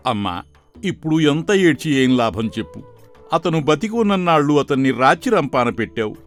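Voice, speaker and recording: A man playing a stern, knowing sage in an animated folktale, admonishing and explaining. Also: a little high, normal speed, soft background music. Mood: stern reproach. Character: stern male sage, secretly a scheming magician in disguise.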